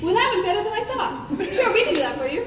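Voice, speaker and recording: A woman's voice: very high-pitched, very animated, fast, a crowd in the background. A woman playing a brash, flippant warrior princess with breezy sarcasm in a comic radio play. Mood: sardonic. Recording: echoey hall from far off.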